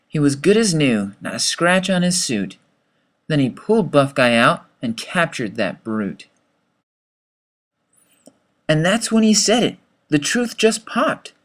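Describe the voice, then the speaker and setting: A man reading a rhyming children's story as its author, with dramatic, expressive delivery. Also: on the high side.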